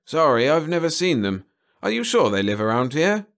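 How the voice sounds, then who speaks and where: A man's voice: on the high side, sing-song, moderate pace, a bit bright, voice pushed up. Man acting a shrewd, sardonic father. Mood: questioning.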